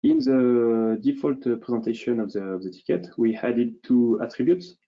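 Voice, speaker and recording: A man presenting a software demonstration in an unscripted, explanatory way, hesitantly thinking aloud as he goes. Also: medium pitch, big pitch swings, normal speed, highs cut off.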